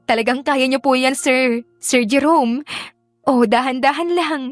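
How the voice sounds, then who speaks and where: A high-voiced young laundrywoman, played by a woman narrator in an audio drama. She speaks politely and deferentially, with gentle earnestness. The sound is dry and close, with music underneath. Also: fairly even intonation, a little slow, dry recording. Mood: encouraging, gentle. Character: young laundrywoman, gentle, polite, encouraging, flustered when worried.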